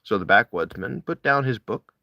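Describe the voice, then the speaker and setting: A man reading classic literary prose aloud, deliberately, with dramatic rises and falls in his phrasing. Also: lowish voice, expressive, gravelly, pushed and bright, dry recording, studio quality. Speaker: audiobook-style reader of classic essays. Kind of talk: literary read-aloud, expressive scripted narration.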